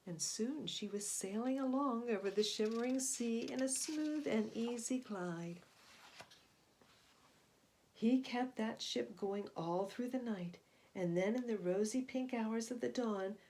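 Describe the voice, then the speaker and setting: Higher-voiced woman reading a children's story. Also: measured pace, a little mellow. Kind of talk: expressive read-aloud narration for young children.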